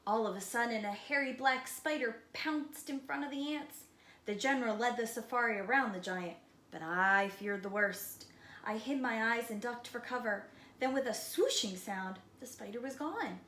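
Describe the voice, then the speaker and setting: A woman preschool teacher reading a picture book aloud expressively, with dramatic slowed emphasis and hushed moments. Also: fairly high pitch. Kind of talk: expressive children's read-aloud. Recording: roomy echo, light background noise.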